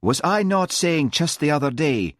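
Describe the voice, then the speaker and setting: A man voicing an easygoing Highland policeman in an audiobook, speaking lightly and pleasantly with a soft cutting edge and a Scottish accent. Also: very animated, measured pace, dry recording, studio quality. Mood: quietly furious. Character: easygoing Highland policeman, politely and dreamily insulting.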